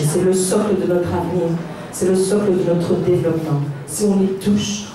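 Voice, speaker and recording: A woman giving an impassioned rally speech, building her points through repetition and long lists. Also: fairly low pitch. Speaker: invited speaker at a rally. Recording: very echoey hall, distant mic, background noise.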